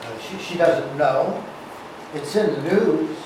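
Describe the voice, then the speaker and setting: A man playing a doting, flattering businessman sweetheart in a live screenplay reading. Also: fairly high pitch, takes it slowly. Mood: probing. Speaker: actor. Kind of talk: cold-read screenplay scene reading. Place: large room at a live staged reading. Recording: echoey hall, distant mic, noisy.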